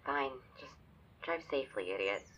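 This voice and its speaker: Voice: mid-range voice, expressive, moderate pace, fairly bright tone. An actor playing an anxious, protective young friend in an audio drama, nagging worriedly through a phone filter. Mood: resigned, fond. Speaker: supporting actor.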